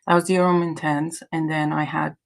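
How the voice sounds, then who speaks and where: A woman's voice: low voice, little pitch movement, moderate pace, gravelly. A woman from Iran whose native language is Azeri, hosting a casual livestream chat in a hesitant, rambling way. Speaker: livestream host.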